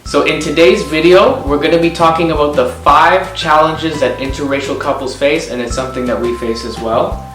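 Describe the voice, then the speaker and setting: A man's voice: on the high side, fairly even intonation, a little fast, fairly bright tone. A man talking casually as the co-host of a conversational vlog. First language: English. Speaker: video co-host. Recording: echoey room, distant mic, background music.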